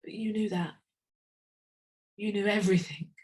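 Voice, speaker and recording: A middle-aged woman from England performs her own spoken-word poem in a slow, intimate, reflective way. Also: medium pitch, steady tone, a little mellow. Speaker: poet. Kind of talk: spoken word poetry reading.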